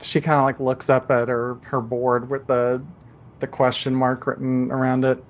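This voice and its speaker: Mid-pitched man running a tabletop game. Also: monotone, moderate pace. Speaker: game master. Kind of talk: conversational tabletop game narration.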